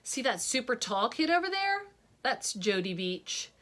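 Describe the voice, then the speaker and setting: A woman doing an eager gawky schoolboy. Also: a little slow. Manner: chatty, excited, raised pitch. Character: gawky eager boy with big glasses.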